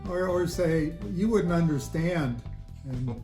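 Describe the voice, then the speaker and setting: Older man, slightly high voice, halting casual interview chat, echoey room. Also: dark tone. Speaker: interviewed audiophile hobbyist. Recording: roomy echo, faint music.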